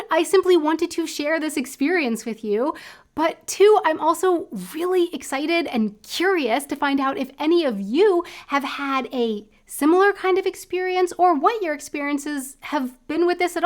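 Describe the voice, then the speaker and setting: An American woman in her thirties talking to camera in a casual, reflective vlog, thinking aloud with lots of fillers. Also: sits high. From United States. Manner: chatty, thinks aloud with many likes and I don't knows. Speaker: vlogger. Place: indoor room at home.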